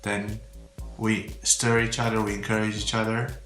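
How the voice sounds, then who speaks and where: A man's voice: fairly low pitch, monotone, normal speed, a bit bright. A man from Romania, giving a heartfelt, faith-filled testimonial in slightly phrasing. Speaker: church member giving a testimonial. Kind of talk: earnest unscripted testimonial. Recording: roomy echo with faint background music.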